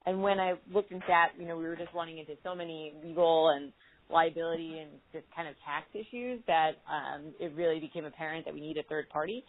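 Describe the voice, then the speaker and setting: Deep-voiced woman on a phone line. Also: steady tone, a little fast. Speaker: corporate philanthropy staffer on a call. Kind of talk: informal account to colleagues. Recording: muffled, band-limited conference call line.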